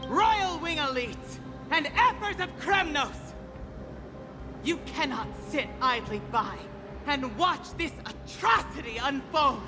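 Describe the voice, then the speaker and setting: A woman playing a fierce, defiant warrior queen and grieving mother, speaking in a theatrical, commanding, archaic way for a fantasy game. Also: sits high. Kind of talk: dramatic fantasy game voice acting. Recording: long hall reverb, music underneath.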